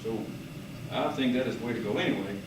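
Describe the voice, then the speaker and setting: A man voicing a unicorn billionaire boss in an amateur puppet show, halting and mock-serious. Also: fairly low pitch, pitched below the performer's own voice, close to an everyday voice. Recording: roomy, noisy, far from microphone.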